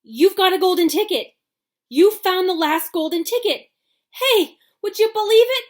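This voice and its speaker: A woman voicing a fat male shopkeeper in a storybook reading, who goes from pleasant to wildly excited and yelling. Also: mid-range voice, moderate pace. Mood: frantic. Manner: shrieking, yelling when excited.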